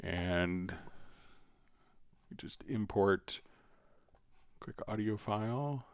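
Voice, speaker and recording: A man hosting a casual live software tutorial, thinking aloud informally as he demonstrates. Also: lowish voice, very slow, dry recording. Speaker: livestream host, software instructor.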